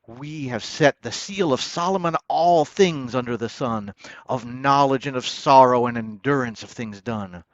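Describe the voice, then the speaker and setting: A man giving a dramatic poetry recitation in a declamatory manner, moving between hushed line endings and loud proclamations. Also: medium pitch, a little slow, no echo, muffled recording. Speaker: poetry reader.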